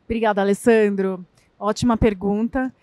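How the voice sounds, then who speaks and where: A woman's voice: medium pitch, steady tone, moderate pace, dark tone, no room sound. A woman with a Brazilian Portuguese accent speaking on a conference panel as a corporate diversity lead. She answers conversationally and often adds tag questions and fillers. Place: conference stage.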